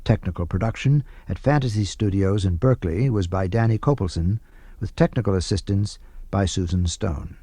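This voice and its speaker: A man reads formal radio narration in a lowish, very mellow voice. The sound is close and dry, and his delivery is measured. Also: no room sound. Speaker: radio announcer and translation reader.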